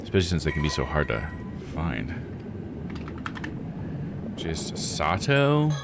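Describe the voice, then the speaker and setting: Low-voiced man musing through a game. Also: huge pitch swings, slow, background noise. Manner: musing, self-questioning. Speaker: live game streamer. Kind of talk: casual live gameplay commentary, thinking aloud.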